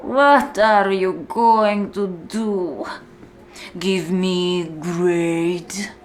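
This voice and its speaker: A woman playing a contemptuous, commanding prison warden in a slow, deliberate, menacing ASMR-style roleplay monologue. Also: on the low side, steady tone, slight background noise. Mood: mocking. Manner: slow, deliberate, menacing, often dropping to a whisper.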